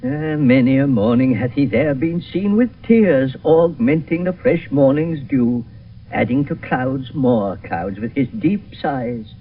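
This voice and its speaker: A man's voice: medium pitch, animated, unhurried, narrow, tinny audio, close to an everyday voice. An actor playing a grave, worried nobleman and father, declaiming verse in a radio Shakespeare play. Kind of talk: 1970s radio Shakespeare acting. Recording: thin, hissy old radio recording, distant.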